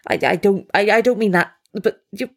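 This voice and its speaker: A woman's voice: medium pitch, moderate pace, vocal fry, voice pushed down. A woman acting a fussy, meddling society lady who gushes and stammers theatrically. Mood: fussing. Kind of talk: dramatic reading of a stage monologue.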